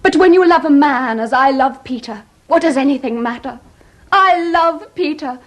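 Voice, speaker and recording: Casting: high-voiced young married woman, theatrical and gushing, breathless romantic excess for radio farce. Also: expressive, moderate pace. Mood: rapturous. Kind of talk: stage farce acted for radio.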